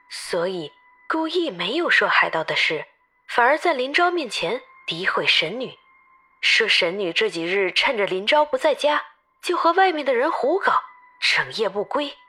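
A woman playing a lively tour guide who tells an island legend to travelers in an expressive, emphatic audio-novel style. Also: fairly high pitch, normal speed, breathy edge, heavily acted. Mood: dramatic storytelling. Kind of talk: dramatized storytelling in an audio novel.

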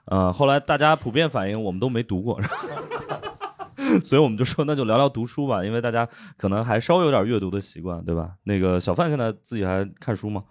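A man hosts a relaxed conversation, tossing out short questions and teasing jabs. His voice sits low but swings widely in pitch, and he laughs easily. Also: fast. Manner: teasing, laughs often, asks short follow-ups. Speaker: podcast host. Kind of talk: casual chat.

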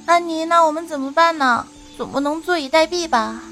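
A young female adventurer in an audio drama. She has a high, girlish pitch and an earnest, bossy way with her companion. Faint music plays behind her. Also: fairly quick, sharp tone, full character voice. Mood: concerned. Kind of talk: Chinese audio drama acting.

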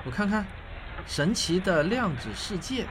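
Guy acting a genial patient dad in a radio play, lively highish voice. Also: a little slow, noisy background. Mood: indulgent. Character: genial, indulgent father who patiently explains science.

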